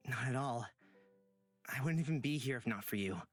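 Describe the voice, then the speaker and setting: A man voicing a gentle, reassuring young traveler in a visual novel, soft-spoken and earnest. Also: medium pitch, expressive, moderate pace. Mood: tender, reflective. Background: background music.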